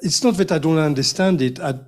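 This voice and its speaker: A man's voice: on the high side, fast talker. A man speaking on a panel, hesitant and laughing at himself as he talks. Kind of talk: casual panel chat.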